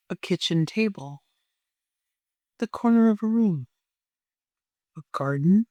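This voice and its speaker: A person leading a guided meditation in a gentle, soothing way, with long unhurried pauses. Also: mid-range voice, dry recording, clean studio sound.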